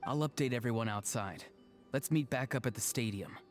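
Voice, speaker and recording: An actor playing a resolute, noble young warrior in a video game, heartfelt and earnest. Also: male voice, mid-range voice, brisk, soft background music, natural voice.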